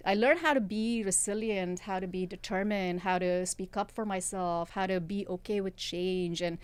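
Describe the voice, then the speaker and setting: Clear-voiced middle-aged Indian-accented woman. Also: medium pitch, fairly even intonation, moderate pace. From South India. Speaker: interview guest, tech executive and author. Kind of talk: conversational interview, personal storytelling.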